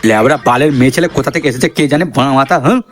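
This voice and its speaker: A man's voice: medium pitch, racing, natural voice. A man voicing a dim, jealous, boastful henchman in a comic cartoon, quarrelsome and foul-mouthed. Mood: indignant. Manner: blustering, quarrelsome.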